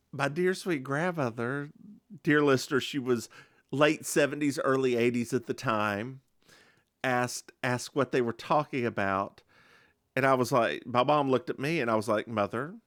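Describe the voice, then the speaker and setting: A man co-hosting a podcast, recapping a TV episode in casual, campy chat with animated storytelling. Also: a little slow, pauses often, dry recording, clean studio sound. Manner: animated, campy storytelling with sudden high-pitched impressions. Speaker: podcast co-host.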